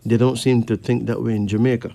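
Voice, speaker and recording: A man from Barbados with a Caribbean accent, hosting a late-night radio show in a measured, deliberate way with pauses between phrases. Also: medium pitch, little pitch movement, creaky, warm tone, dry recording. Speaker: radio host giving readings to callers. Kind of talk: late-night radio talk, slow monologue and caller chat. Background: faint music.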